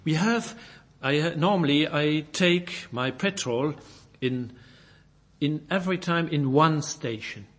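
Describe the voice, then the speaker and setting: Slow, husky Belgian preacher. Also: male voice, on the high side. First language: French. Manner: halting, repeats words, reads scripture aloud. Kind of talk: sermon.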